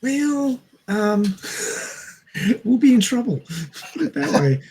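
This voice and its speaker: A man's voice: very high voice, very animated, slow, a little mellow, slightly rough, rough recording. A man talking casually on a discussion podcast, explaining things in a dry, understated way. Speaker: podcast co-host or guest.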